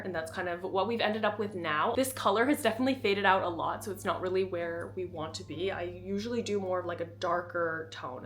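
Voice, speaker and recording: A woman's voice: medium pitch, a bit bright. A young woman with a stuffy, slightly nasal voice from a cold talks straight to her viewers. Her delivery is chatty and candid, loose with filler words, like a friend giving honest advice. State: congested from a cold. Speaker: beauty vlogger. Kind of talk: casual talking-head vlog.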